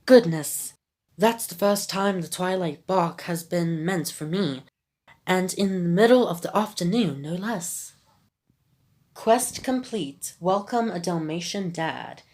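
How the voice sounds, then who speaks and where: A proper, devoted Dalmatian father from a video game speaks in a plain, rather low voice, read aloud by a woman. Her throat sounds phlegmy from illness. The line delivery is simple reading, with no put-on character voice. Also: takes it slowly, a little mellow. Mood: earnest.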